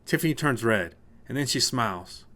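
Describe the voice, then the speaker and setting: Animated male storyteller, middle pitch. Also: moderate pace. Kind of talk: scripted short story narration, present tense.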